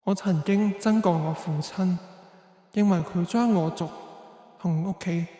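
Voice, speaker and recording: A solemn young hero out for revenge, acted by a man, narrating a radio drama. His voice is quite high for a man and washed in roomy, long reverb. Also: unhurried, mellow. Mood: sorrowful, bitter.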